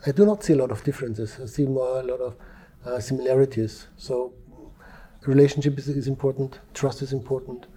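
A man from Europe, a former police negotiator, talking calmly and with measured pauses in an interview. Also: mid-range voice, breathy edge. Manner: calm, measured, with frequent restarts. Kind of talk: interview conversation. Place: Dubai.

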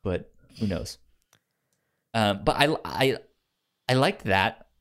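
Lively man, halting podcast chat. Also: lowish voice. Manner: hesitant, stammering over words. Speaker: podcast co-host. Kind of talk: casual book discussion podcast.

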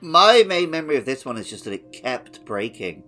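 A man's voice: medium pitch, sing-song, moderate pace, pushed and bright, faint music behind. A man co-hosting a video game podcast, talking in a casual conversational style. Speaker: podcast co-host.

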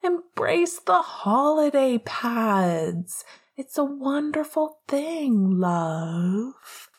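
An exuberant young man from a romantic storybook, acted out by a woman reader. He is playfully theatrical and teasing, gushing like a devoted best friend, with a voice that often lifts high. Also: very animated, extremely slow, husky, full character voice. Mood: dreamy, teasing.

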